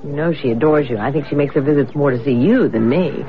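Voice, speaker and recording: A woman's voice: very deep voice, fast talker, slight background noise. A woman playing an eager, chatty, house-proud wife in a radio drama. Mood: delighted, chatty. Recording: dull lo-fi radio recording.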